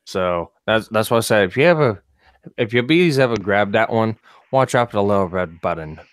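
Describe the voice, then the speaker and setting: A man with a slightly raspy, froggy throat, chatting wryly and jokingly on a casual live stream. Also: on the low side, animated, moderate pace, dry recording. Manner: wry, joking. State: froggy throat that will not clear.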